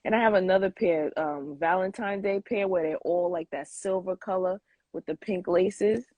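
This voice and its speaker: A woman's voice: fairly low pitch, moderate pace, a little mellow, no room sound. A woman in her forties chatting informally as an interview guest, rambling a little as she thinks aloud. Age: 40s. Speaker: interview guest, sneaker collector. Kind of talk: casual interview chat.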